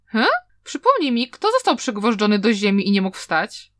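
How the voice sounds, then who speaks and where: A woman voicing a sharp-tongued young male cultivator who argues and mocks. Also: on the high side, lively intonation, dry recording, clean studio sound, natural voice. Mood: teasing. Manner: mocking, quick to argue.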